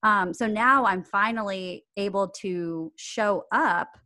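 A woman's voice: mid-range voice, slow pace, sharp tone. A woman guest on a podcast, talking earnestly and reflectively in casual conversation. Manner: earnest, reflective, with bursts of laughter. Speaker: podcast guest, acting coach.

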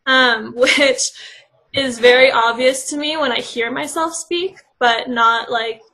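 A woman's voice: sits high, steady tone, slow, pushed and bright, rough recording. A young woman with a Californian accent, chatting casually with frequent "like" fillers. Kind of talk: casual discussion.

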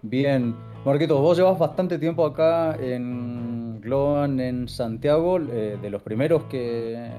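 A man's voice: medium pitch, slow pace, hardly any pauses, mellow. A man hosting a podcast, interviewing a guest in a casual, chatty way. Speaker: host. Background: guitar music.

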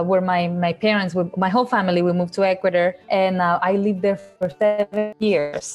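A woman's voice: mid-range voice, darkish tone. A woman in her thirties from Chile, a painter answering interview questions in a casual, hesitant way with many fillers and restarts. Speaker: painter guest.

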